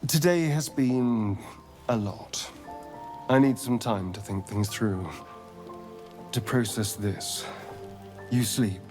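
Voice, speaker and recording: A man's voice: mid-range voice, slow pace, long pauses, music in the background, close to an everyday voice. An actor voicing a suave, sardonic male vampire magistrate in a video game, with an English accent and an arch, theatrical, evasive manner. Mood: weary, teasing. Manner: arch, drawling, theatrical delivery. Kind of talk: video game character acting.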